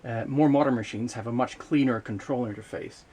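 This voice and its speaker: A man giving an unscripted hands-on demonstration of vintage equipment, explaining in a measured way with hesitant pauses mid-sentence. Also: mid-range voice, warm tone, breathy edge. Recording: noticeable background noise, room ambience.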